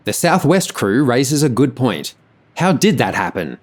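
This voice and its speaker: A man's voice: medium pitch, very animated, unhurried. A man reading scripted explanatory narration about an aviation incident.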